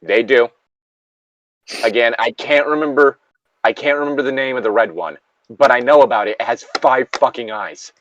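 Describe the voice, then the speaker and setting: A man's voice: medium pitch, moderate pace, fairly bright tone, dry recording, dull audio. Casual sweary man, very dry sound. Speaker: co-host of a group reading. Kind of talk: casual banter and reading aloud.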